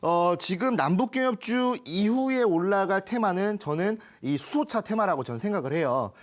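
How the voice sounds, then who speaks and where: A man's voice: sits high, lively intonation, moderate pace. A man working as a stock market expert, explaining charts in a colloquial broadcast talk with folksy asides.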